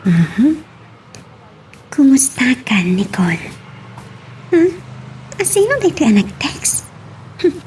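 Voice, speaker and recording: A woman's voice: on the high side, sing-song, slow, pauses often, darkish tone. A woman playing a jealous, hot-tempered wife in an audio drama, with an Ilocano Filipino accent and an emphatic, confrontational delivery. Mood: suspicious. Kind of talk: melodramatic audio drama acting. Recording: distant mic with faint noise.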